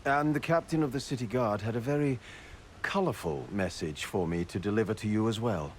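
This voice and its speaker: A man's voice: lowish voice, brisk, slight background noise, natural voice. A man voicing a fussy, exasperated city official in a fantasy video game, formal, dry and pedantic. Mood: dry, stuffy. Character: stuffy, exasperated male city seneschal, fussy about rules.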